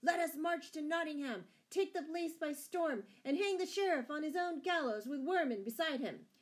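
A woman voicing eager, fiery male outlaws in a storybook, shouting. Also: high-pitched, animated, moderate pace, voice pushed up. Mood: fired up.

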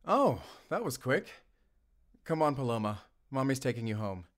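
A man playing a gentle, considerate father and neighbour in a naturalistic audio roleplay, soft-spoken and a little hesitant. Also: mid-range voice, big pitch swings, moderate pace, natural voice. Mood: affectionate. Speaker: voice actor in audio drama. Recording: echoey, reverberant, loud music bed.

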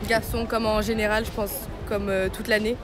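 A man with a very high voice answers casually in the street. He searches for words, with music behind him. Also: level tone, normal speed. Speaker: street interviewee. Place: city street, outdoors.

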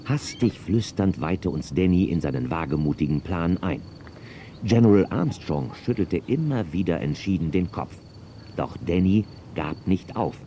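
A man's voice: deep voice, lively intonation, normal speed, mellow. An actor playing a man who recounts his own Western adventure as scripted radio play narration. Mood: suspenseful. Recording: noisy outdoor sound-effect backdrop.

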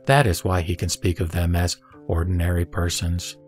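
A man playing the Buddha as a serene teacher, speaking in a measured, solemn way in an audio drama of scripture. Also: low-pitched, flat delivery, natural voice. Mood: calm, instructive. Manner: measured, solemn, didactic delivery. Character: the Buddha, serene male teacher instructing a disciple. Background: background music.

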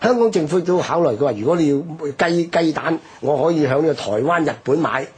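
A very raspy male voice with a Hong Kong Cantonese accent, swinging widely in pitch. He explains history off the cuff as a radio guest, stressing his points and tagging them with rhetorical questions. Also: animated, fast, a little mellow. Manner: explanatory, emphatic, frequent rhetorical tags. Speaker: history commentator, programme guest.

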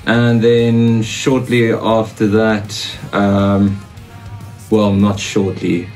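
A young South African man with a lowish voice chats loosely, full of "uh" and "like". He sits in a small home studio, and some quiet music plays beneath him. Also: very slow. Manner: relaxed, rambling with fillers. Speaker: guitarist. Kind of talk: casual interview.

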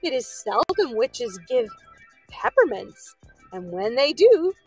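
A woman's voice: fairly high pitch, very animated, takes it slowly, a little mellow, slightly rough, soft background music, heavily acted, low-quality recording. A woman voicing a polite little storybook witch, genteel and condescending, with a mischievous streak. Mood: reproving.

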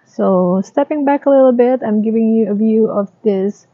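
A woman giving a casual tour of her garden, talking in a slow, halting, plain way. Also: on the high side, no echo. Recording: dry, tinny phone-like sound.

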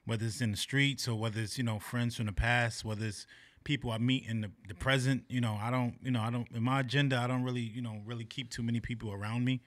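A man in his thirties, a podcast guest chatting casually in a small office room at a desk mic, agreeable and quick to back others up. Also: mid-range voice, steady tone, a bit bright. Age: 30s. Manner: agreeable, frequent affirming interjections. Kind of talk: casual chat. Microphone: desk mic on boom arm.

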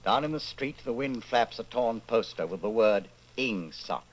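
A man playing a grave, measured narrator in an old-time radio style drama, telling the story in the second person. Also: medium pitch, animated, lightly acted. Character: grave narrator addressing the hero as you.

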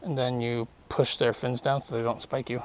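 A young man casually commenting while fishing from a small boat on a lake, easygoing and upbeat, getting excited over each catch. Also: medium pitch, normal speed. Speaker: fishing vlogger. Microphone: action camera on the boat.

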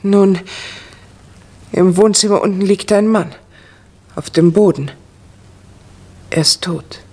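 Vintage radio mystery young wife: courteous, self-possessed, husky voice. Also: female voice, mid-range voice, a little mellow. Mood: grave, composed. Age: young adult. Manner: polite, measured. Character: composed, polite young wife. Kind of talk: 1960s German radio crime drama acting.

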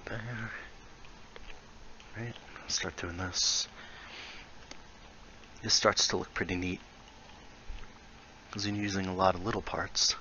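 A man's voice: low voice, fairly even intonation, slow, long pauses, bright, forceful tone, highs cut off, a little hiss or hum. A man casually explaining how he builds in a VR game, thinking aloud and muttering small asides as he works. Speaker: VR building tutorial creator. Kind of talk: casual tutorial while building in VR.

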